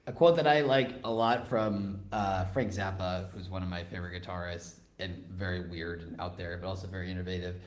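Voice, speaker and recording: A man with a fairly low voice hosts a casual, reflective conversation. The sound is echoey, as if he sits in a reverberant room. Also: steady tone, a little fast, few pauses. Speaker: podcast host.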